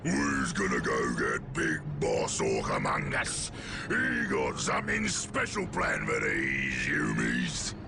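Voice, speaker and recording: A man's voice: rumbling low, sing-song, slow pace, creaky, full character voice. A man voicing a brutish, bossy orc warlord in a video game, growling crude broken grammar and barked orders. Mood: scheming. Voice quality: guttural growl. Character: brutish, bossy male orc warlord bullying his mob. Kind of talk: video game cutscene acting. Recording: loud music behind the voice. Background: game soundtrack music.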